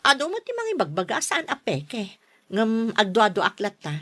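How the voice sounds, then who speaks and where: An actress in an Ilocano radio drama playing a skeptical, scolding elder sister with a chiding, matter-of-fact manner. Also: female voice, a little high, wildly swooping pitch, moderate pace, a bit bright, heavily acted. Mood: wary, explaining.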